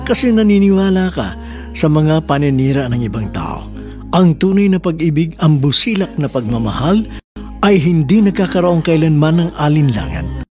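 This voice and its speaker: A man hosting a radio love drama, reading scripted narration and advice in a formal, measured, sentimental manner. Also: fairly high pitch, darkish tone.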